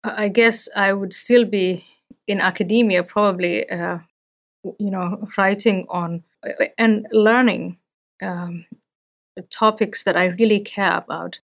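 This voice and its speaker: A middle-aged woman from Somalia, a guest on an interview podcast, speaking in an earnest, measured, explanatory way. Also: mid-range voice, fairly even intonation, gravelly.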